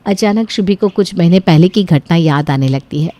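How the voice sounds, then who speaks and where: A woman's voice: fairly low pitch, moderate pace, warm tone, no room sound. A woman narrating a scripted family story as its storyteller.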